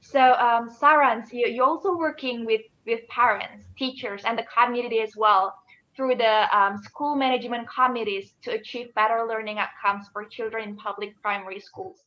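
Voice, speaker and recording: A woman's voice: fairly high pitch, steady tone, moderate pace, a bit bright. A woman moderating an online panel discussion in an affirming, encouraging way, on muffled call audio. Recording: dull, band-limited call audio.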